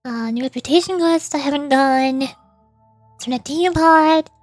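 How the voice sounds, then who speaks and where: A woman with a high voice hosts a casual gaming stream, with music playing faintly behind her. She rambles playfully and mutters small asides to herself as she plays. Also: measured pace, dry recording. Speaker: livestream host, VTuber playing a video game.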